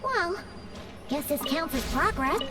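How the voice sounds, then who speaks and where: A woman voicing a small, excitable, childlike female companion creature in a video game, with squeaky, exclamatory delivery. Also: measured pace, recorded from a distance, music in the background. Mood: surprised, doubtful.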